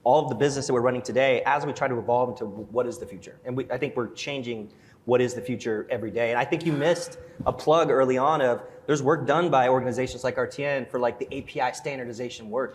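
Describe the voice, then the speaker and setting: A man, a security executive on a conference panel, speaking in quick bursts with frequent restarts. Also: medium pitch. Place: conference stage. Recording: reverberant conference hall.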